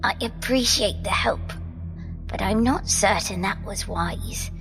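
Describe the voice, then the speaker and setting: A grave, knowing captive woman speaks with a gravelly creak. Her delivery is slow, measured and formal, an audio drama performance set over clear music. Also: mid-range voice. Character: composed, grave woman captive with otherworldly knowledge. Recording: music under the voice.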